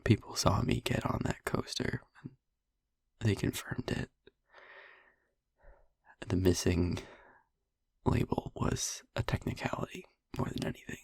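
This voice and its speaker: A man playing a young vampire boyfriend in an intimate audio roleplay, haunted, tender and wry, speaking in a hushed, breathy, husky voice with long halting pauses. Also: low-pitched, no room sound, exaggerated voice. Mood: resigned.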